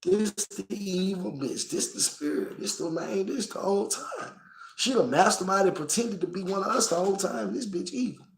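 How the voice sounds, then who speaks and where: A man in his thirties with a Memphis accent and a hoarse, coughing voice, reviewing a movie casually and profanely to his phone camera. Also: high-pitched, normal speed. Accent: Southern US, Memphis. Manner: profane, animated, talks straight to camera.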